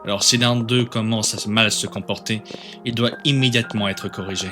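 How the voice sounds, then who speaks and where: A man's voice: fairly low pitch, steady tone, moderate pace, over music, close to an everyday voice. A man playing a rigid, menacing agent of the authorities in an amateur webtoon dub, formal and insistent. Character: menacing male authority agent, rigid, coldly polite, disciplinarian.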